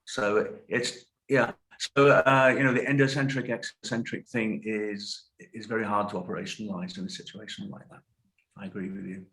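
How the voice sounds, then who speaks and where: A man's voice: on the low side, fairly even intonation, moderate pace, a bit bright, poor audio. A man in his sixties, a guest lecturer answering audience questions over a video call, thoughtful and hedging as he corrects himself.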